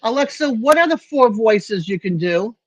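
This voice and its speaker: An older woman hosting a casual livestream chat from home, rambling and halting with lots of fillers and restarts. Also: fairly high pitch, animated, no room sound. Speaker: livestream host. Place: home room with a fan. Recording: dull lo-fi stream audio.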